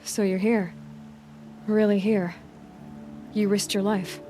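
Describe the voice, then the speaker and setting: Young female hunter for a game woman acting, mid-pitched, guarded and stubborn, dry sarcasm, faint music underneath. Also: little pitch movement, slow, warm tone, lightly acted. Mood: bitter, sarcastic. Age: young adult. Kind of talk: video game cutscene acting.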